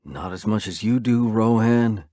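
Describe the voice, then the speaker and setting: A man voicing a cocky young man who calmly goads his old friend into a fight. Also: fairly low pitch, a little slow, dry recording, clean studio sound, natural voice. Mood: taunting.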